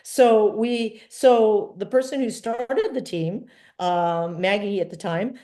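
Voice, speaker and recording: A woman in her sixties, born in Trinidad and raised in Vancouver, speaking as a filmmaker guest in a casual interview, with an animated storytelling manner. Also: mid-range voice, slow. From born in Trinidad, grew up in Vancouver. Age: 60s.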